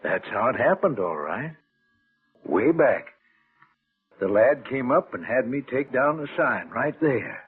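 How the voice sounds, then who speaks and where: A man's voice: mid-range voice, sing-song, measured pace, breathy edge, heavily acted. A man playing a skeptical, folksy old man near seventy in an old radio drama. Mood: guarded, reminiscing. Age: older. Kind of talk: mid-century radio drama acting. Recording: tinny narrow-band old radio, faint music.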